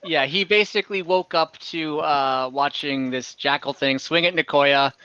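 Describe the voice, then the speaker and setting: A man's voice: on the high side, moderate pace, sharp tone, clear, resonant tone, dry recording, dull audio. A man improvising narration as game master of an online tabletop game, easygoing and loose with the rules. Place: online voice call, dry close sound.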